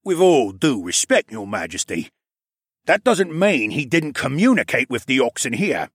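Man playing an insistent, animated male courtier. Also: medium pitch, normal speed, no room sound, studio quality, voice pushed up. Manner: formal, insistent, deferential. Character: earnest male courtier pleading formally before royalty. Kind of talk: audiobook character acting.

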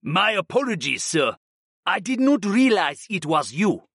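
A man's voice: sits high, sing-song, unhurried, fairly bright tone, husky, no room sound, studio quality, pitched above the performer's own voice, full character voice. Apologetic gate guard, voiced by a man. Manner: polite, apologetic.

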